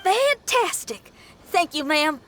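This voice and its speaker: A woman's voice: very high-pitched, sing-song, slow, a bit bright, soft background music, heavily acted. A woman playing a breezy, confident young musician in a video game, casual and slangy. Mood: breezy, upbeat. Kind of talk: animated video game acting.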